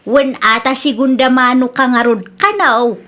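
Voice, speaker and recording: Babae nga agakem a natinis, managungget nga ina. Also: female voice, high voice, moderate pace, bright, forceful tone. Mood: scolding, disapproving. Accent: Ilocano Filipino. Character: protective, scolding mother advising her grown daughter. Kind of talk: Ilocano radio comedy drama acting.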